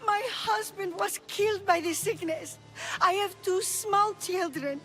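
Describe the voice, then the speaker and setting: A begging widow mom in a greek game, really high voice, tearful, desperate. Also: female voice, a little slow, bright, forceful tone, soft background music, exaggerated voice, poor audio. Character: desperate pleading widowed mother in ancient Greece. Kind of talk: video game acting.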